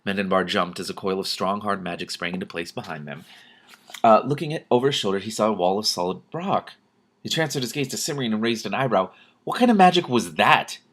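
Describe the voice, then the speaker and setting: A man's voice: lowish voice, quick pace, a bit bright. A man reading a novel aloud expressively as host, dropping in casual commentary of his own.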